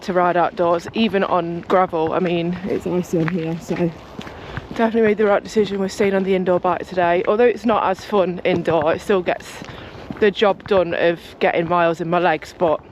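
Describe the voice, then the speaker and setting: A woman's voice: on the low side, steady tone, brisk, a little hiss or hum, rough recording. Chatty woman vlogging on a walk. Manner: chatty, rambling, talks a lot. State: croaky just-woken voice. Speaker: cycling vlogger. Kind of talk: casual vlog chat to camera. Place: home, then outdoors on a walk.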